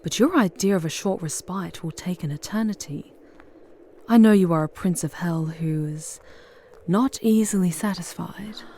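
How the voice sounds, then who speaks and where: A woman's voice: lowish voice, moderate pace, dark tone. A woman playing a charming, sardonic demon guide in an ASMR audio roleplay, murmuring breathily with wry, formal phrasing. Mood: wry, commanding.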